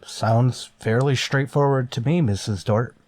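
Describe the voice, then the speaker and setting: An actor playing a grim, authoritative starship captain in a golden age sci-fi radio drama, speaking in a stern, deliberate way. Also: male voice, mid-range voice, a little mellow, dry recording, lightly acted.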